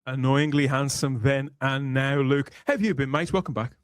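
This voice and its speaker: A man's voice: medium pitch, steady tone, normal speed, smooth. A man hosting a casual panel podcast, chatting in wordy, rambling phrases as he presents and introduces guests. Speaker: podcast host.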